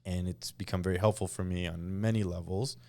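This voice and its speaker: A man's voice: low-pitched, fairly even intonation, a little fast, darkish tone. A man hosting a podcast, asking easygoing, curious questions in casual interview talk. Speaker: podcast host.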